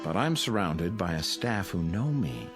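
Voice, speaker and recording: A deep, expressive voice plays a condescending psychiatrist in an audio drama. He is measured and intellectual, calmly patronizing as his pitch rises and falls. Music plays clearly behind him. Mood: veiled threat. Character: calm, condescending male psychiatrist at a mental institution. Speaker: actor.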